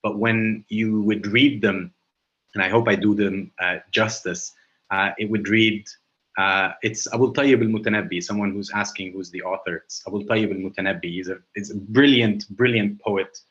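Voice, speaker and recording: A man's voice: fairly low pitch, fairly even intonation, normal speed, a bit bright, dull audio. A man whose first language is Arabic, giving a webinar presentation over a video call and explaining with frequent hesitations and fillers.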